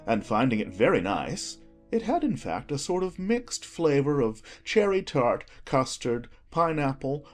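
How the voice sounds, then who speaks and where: A man reads a classic children's story as an audiobook narrator. His pitch sits in the middle and moves in lively swings, with music behind him. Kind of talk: expressive scripted storybook narration.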